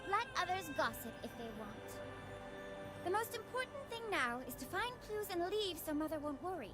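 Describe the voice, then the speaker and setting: Haughty young game princess: very high, lively delivery, proud but soft underneath, music behind. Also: female voice, moderate pace. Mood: resolute. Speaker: voice actor in a fantasy game. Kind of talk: dramatic game voice acting.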